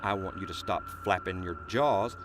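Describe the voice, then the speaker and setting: A man playing a gruff, hot-tempered small-town sheriff in an audio drama, commanding, curt and coarse-mouthed. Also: low voice, moderate pace, noisy background, natural voice. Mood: stern.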